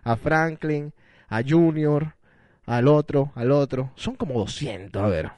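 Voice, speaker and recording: A man's voice: a little high, slow pace, a little mellow, no echo, soft background music. A man hosting a casual radio talk show, teasing his co-host and joking around. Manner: teasing, playful, chatty with restarts.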